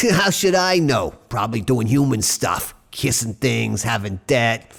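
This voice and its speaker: Creaky, sardonic goblin slacker. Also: male voice, medium pitch, expressive, measured pace, a bit bright, full character voice. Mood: sardonic, flippant. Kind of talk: fantasy audio drama acting.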